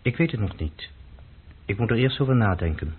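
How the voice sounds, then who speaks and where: An actor playing a dignified, serious lawyer of about thirty in a radio play, speaking in a measured, courteous, explaining way. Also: male voice, fairly low pitch, expressive, slight background noise, close to an everyday voice. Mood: grave, probing. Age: 30s. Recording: dull, muffled old radio recording.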